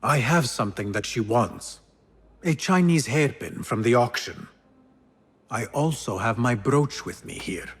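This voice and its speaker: Husky, guarded male infiltrator, reverberant. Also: medium pitch, animated, measured pace. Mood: guarded, courteous. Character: guarded, courteous young male infiltrator posing as trader. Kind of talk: dramatic video game acting.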